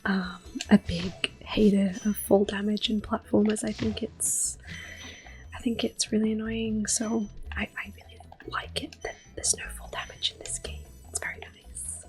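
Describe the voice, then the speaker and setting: A woman speaks in a gentle whisper, slowly and without hurry. She hosts an ASMR gameplay session, with quiet music and controller clicks behind her. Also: medium pitch, little pitch movement, rough recording. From Europe. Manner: gentle, unhurried, mostly whispering. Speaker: host of a gameplay series. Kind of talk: soft-spoken, whispered ASMR game commentary. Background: quiet game music and controller clicks.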